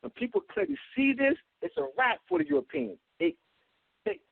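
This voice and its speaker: A man giving an insistent talk, stopping often to fill pauses with ums and uhs, heard over a phone line. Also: extremely high pitch, very animated, vocal fry, dry recording. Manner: insistent, repetitive, many filler ums and uhs. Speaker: guest speaker. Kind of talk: call-in lecture, talking to a host. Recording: tinny phone line, dry.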